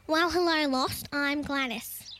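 A woman's voice: sits high, takes it slowly, bright, forceful tone, a little hiss or hum, voice pushed up, heavily acted. A woman voicing a determined, folksy female emu, blustering and blunt, in a children's story. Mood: friendly, decisive. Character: decisive, easily indignant female emu, kindly and blunt.

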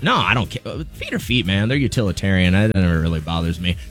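A man's voice: deep voice, expressive, fast talker, fairly bright tone, faint music behind. A man co-hosting a radio show, joking and teasing in casual banter. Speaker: radio co-host. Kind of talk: casual chat.

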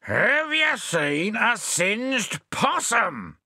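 A man acts out a quick-tempered storybook adventurer. He blusters and roars with indignation, in a forceful voice that swings widely in pitch. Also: very animated, slightly rough, no room sound, studio quality, full character voice. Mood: gruff, demanding. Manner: roaring, indignant, colourfully abusive.

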